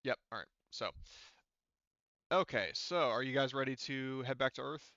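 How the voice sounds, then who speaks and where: A man acting as game master, talking players through the game in a loose, rambling way. His voice is bright and smooth, recorded dry and a little dull. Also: medium pitch, normal speed, no echo. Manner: rambling, conversational explaining. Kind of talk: casual narrating of a tabletop game.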